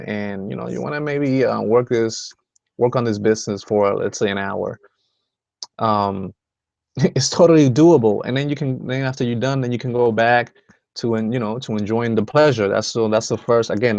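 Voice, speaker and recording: A man's voice: medium pitch, a little mellow, no echo, lo-fi sound. A man from the Dominican Republic hosting a motivational video call, talking in a rambling way with many fillers and restarts. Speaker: host.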